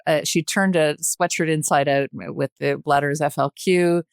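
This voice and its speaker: Older canadian lady as podcast guest, bit of fry, thoughtful and explanatory, dry sound. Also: low voice, fairly even intonation, unhurried, no echo. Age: 60s. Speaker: podcast guest, retired journalist. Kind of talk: thoughtful conversational discussion.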